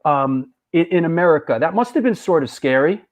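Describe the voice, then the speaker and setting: A man hosting a casual interview, eagerly chiming in with quick reactions and questions. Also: a little high, expressive. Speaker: host.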